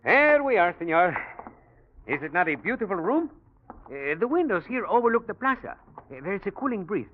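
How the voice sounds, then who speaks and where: A man's voice: high-pitched, sing-song, brisk. An actor playing a polite, talkative South American hotel man with a Spanish accent, in a 1940s radio drama style, courteous and hesitant. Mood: eager, chatty. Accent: Latin American Spanish. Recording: muffled, noisy vintage radio recording.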